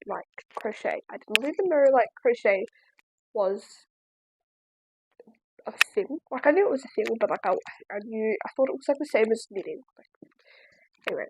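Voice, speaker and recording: Chatty young Australian woman, higher voice. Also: noisy background. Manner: chatty, rambling, lots of likes and ums. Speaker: crochet vlogger. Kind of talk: casual Q&A vlog. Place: at home, seated in a chair.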